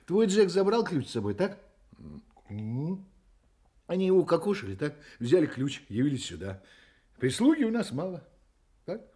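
An actor in a radio play voicing a gossipy, cynical, hard-drinking hotel detective who mutters, chuckles and rambles. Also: male voice, fairly high pitch, big pitch swings, normal speed, long pauses, mellow. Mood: knowing, muttering. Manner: rambling, muttering, chuckling, full of interjections and tag questions. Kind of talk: Soviet-style radio play acting.

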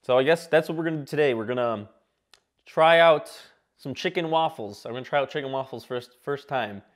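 A man in his twenties from Michigan hosting a comedy talk show sketch in a small studio, irreverent and excitable, swearing a lot. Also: medium pitch, brisk. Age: 20s. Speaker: host. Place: small indoor studio set.

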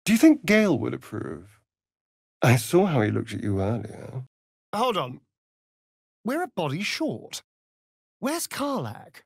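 Theatrical, drawling vampire rogue. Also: male voice, fairly high pitch, huge pitch swings, unhurried, vocal fry, pauses often, breathy edge, no room sound, studio quality, full character voice. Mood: dry, musing. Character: sardonic, vain, theatrical male vampire spawn rogue. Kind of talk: fantasy video game character acting.

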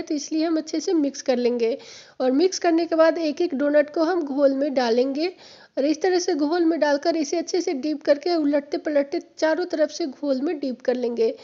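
A woman with a slightly hoarse throat explaining a home cooking recipe step by step in a friendly, instructive way, recorded in a home kitchen. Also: high voice, warm tone, muffled recording. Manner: friendly, instructive, repeats steps. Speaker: home cooking channel host.

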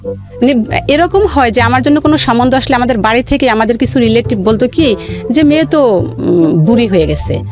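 A woman's voice: a little high, expressive, fairly quick. A woman with a Bangladeshi accent telling her life story in a radio interview, emphatically repeating phrases for stress. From rural Bangladesh. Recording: music under the voice.

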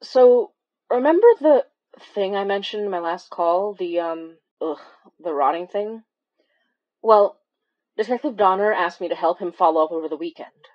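A woman's voice: mid-range voice, moderate pace, muffled recording, close to an everyday voice. An actress playing a wry, weary young woman who recounts eerie events in a conversational, hesitant way, as in a horror fiction podcast. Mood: exasperated. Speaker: audio drama lead narrator. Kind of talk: confessional first-person horror podcast narration.

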